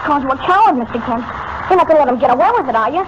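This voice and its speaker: An eager kid, 40s radio serial, high lively fast voice, muffled noisy old recording. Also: female voice. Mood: indignant. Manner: excitable, earnest. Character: eager, loyal teenage boy at a newspaper. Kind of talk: 1940s radio acting.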